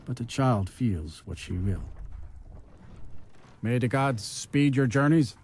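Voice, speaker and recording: A video game fishmonger, a sober townsman with an average-pitched voice. He speaks plainly and earnestly, with light ambience behind him. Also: animated, slow, darkish tone, a little hiss or hum. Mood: somber, earnest. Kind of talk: video game character dialogue.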